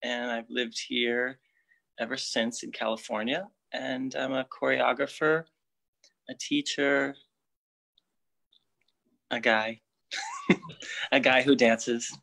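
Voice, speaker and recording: A man's voice: mid-range voice, fairly even intonation, slow, creaky, long pauses, bright, forceful tone. Easygoing man from Brooklyn. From Brooklyn, New York. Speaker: choreographer. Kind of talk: casual chat.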